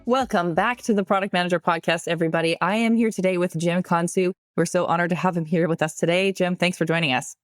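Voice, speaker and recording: Fast-talking upbeat woman podcast host. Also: fairly low pitch, steady tone, dry recording, soft background music. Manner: upbeat, enthusiastic. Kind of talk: scripted intro then interview questions.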